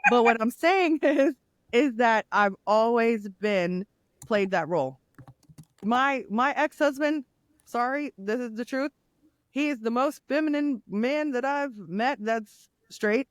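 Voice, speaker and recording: Deep-voiced woman telling her story. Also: slow, dry recording. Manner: candid, animated storyteller with laughs. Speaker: guest, nurse and grief coach. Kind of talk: casual interview conversation.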